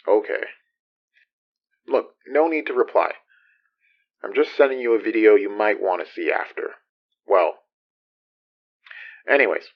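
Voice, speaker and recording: A man's voice: on the low side, highs cut off. An actor playing Donner, a wary, worn male investigator who hesitates and trails off in an audio drama. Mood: hesitant.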